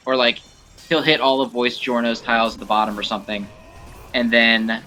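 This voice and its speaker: A man giving casual, rambling commentary on gameplay in an informal, animated way. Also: mid-range voice, a little hiss or hum. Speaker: gameplay showcase host.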